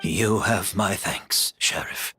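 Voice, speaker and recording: An actor playing a courteous, weary, remorseful gentleman in video game drama, speaking in a measured, formal way. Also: sits low, husky, no room sound, faint music behind, full character voice. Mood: resigned, courteous.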